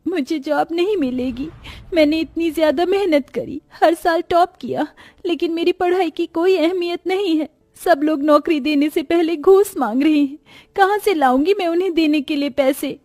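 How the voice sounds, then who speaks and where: A woman's voice: very high-pitched, normal speed, warm tone, no room sound. A woman voicing a humble, educated young woman from a poor family in a cartoon moral story, earnest and pleading. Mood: tearful, despairing.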